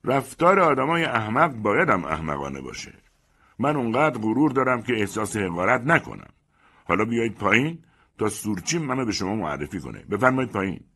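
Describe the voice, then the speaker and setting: A man's voice: lowish voice, big pitch swings, sharp tone, slightly rough, pitched above the performer's own voice, exaggerated voice. A man voicing a proud, touchy middle-aged man who talks in a haughty, declaiming way. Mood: furious.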